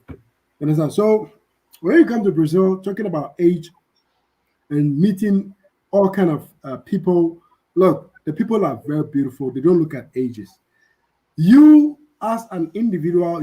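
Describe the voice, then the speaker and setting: A slightly high, husky-voiced man chatting casually as a livestream host. He talks in a relaxed, easygoing way, often repeating himself and checking that the listener follows. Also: poor audio. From Africa.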